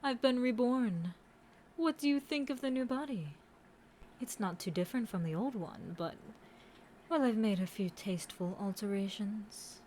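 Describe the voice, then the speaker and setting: A woman voicing a commanding, scheming demon queen in a scripted audio roleplay, haughty and taunting, with deliberate, theatrical villain delivery. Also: mid-range voice, animated, warm tone, slight background noise, lightly acted, low-quality recording. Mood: gloating, menacing.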